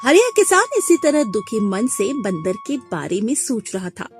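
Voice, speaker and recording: A woman narrating a scripted moral tale as an expressive storyteller. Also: a little high, normal speed, soft background music.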